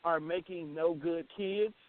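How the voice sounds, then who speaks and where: A man's voice: on the high side, fairly even intonation, a little slow, a crowd in the background. A man hosting casual internet radio, bantering in a slangy, playful, profane way over a phone call. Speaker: radio show host. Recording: thin phone line with hiss.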